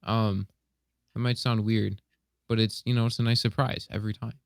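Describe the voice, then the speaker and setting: A man on a podcast panel, giving opinions in an informal, measured, softened way. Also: lowish voice, flat delivery, gravelly, dry recording, clean studio sound. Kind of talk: casual chat.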